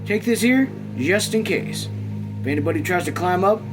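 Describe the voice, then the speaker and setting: A man's voice: high voice, lively intonation, moderate pace, over music, pitched above the performer's own voice. Man reading as a firm, protective young farm man. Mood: commanding. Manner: plain-spoken, folksy, insistent.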